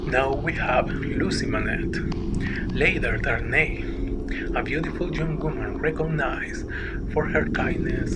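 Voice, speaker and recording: A man's voice: mid-range voice, heavy background noise. A man presenting a literature analysis as scripted narration. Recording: loud music over the voice. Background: loud music.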